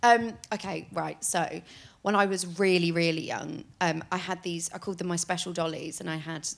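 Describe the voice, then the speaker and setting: A woman in her late twenties chats as a podcast guest, telling rambling stories and giggling often. Her voice sits low with plenty of gravelly fry. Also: fairly even intonation, normal speed. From England. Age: late 20s. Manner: chatty, giggly, rambling storytelling. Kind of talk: casual chat.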